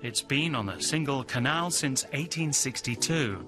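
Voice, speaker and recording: A man reading a scripted travel narration in a smooth, measured way. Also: medium pitch, expressive, over music. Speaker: documentary narrator.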